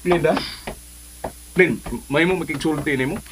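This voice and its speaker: An actor with a Cebuano Filipino accent playing a lovesick, persistent suitor in a radio drama. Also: male voice, on the high side, measured pace, noisy background, lightly acted. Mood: apologetic pleading.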